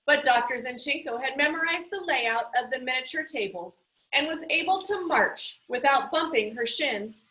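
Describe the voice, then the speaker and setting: A woman narrates a children's novel in a high-pitched voice with a bright, forward tone. Her read-aloud delivery is deliberate and expressive, with each phrase carefully set out for listeners. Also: low-quality recording.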